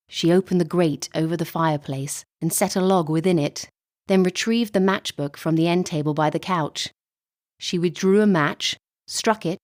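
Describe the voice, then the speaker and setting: Low-voiced woman narrating a story. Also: darkish tone, no echo, clean studio sound. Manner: measured, literary storytelling delivery. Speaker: voice-over artist. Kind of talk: scripted audiobook narration.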